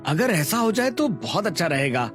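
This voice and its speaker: A man's voice: sits high, big pitch swings, normal speed, faint music behind. Man playing an easygoing Indian family father. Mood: agreeable. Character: easygoing, agreeable husband and father of a poor household. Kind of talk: Hindi cartoon story acting.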